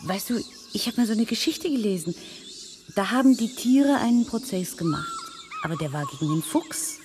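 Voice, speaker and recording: A woman playing a curious, uneasy woman in a radio play, conversational and expressive. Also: on the high side, normal speed, noisy background. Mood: bemused. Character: curious, uneasy woman hearing strange voices. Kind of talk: German radio play acting.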